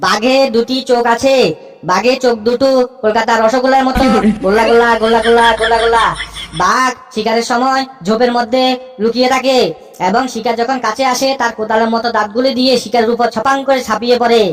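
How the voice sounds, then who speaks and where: Woman voicing a cocky high-pitched cartoon schoolboy. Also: steady tone, fast, lightly acted. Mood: confident reciting. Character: cheeky schoolboy reciting essays with cocky confidence. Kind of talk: comic cartoon dialogue and rote recitation.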